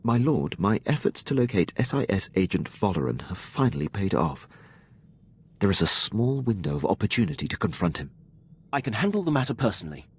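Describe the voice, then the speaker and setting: An actor playing a dutiful Imperial military officer in a video game, speaking with precise, deferential formality. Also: male voice, fairly low pitch, very animated, fairly quick, breathy edge. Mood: proud, resolute. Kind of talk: scripted video game dialogue.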